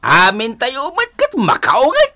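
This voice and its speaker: Man acting as bright-voiced, patient old Filipino father. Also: extremely high pitch, huge pitch swings, measured pace, full character voice. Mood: gently reproving. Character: older father advising his grown son, patient. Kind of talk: Ilocano radio drama acting.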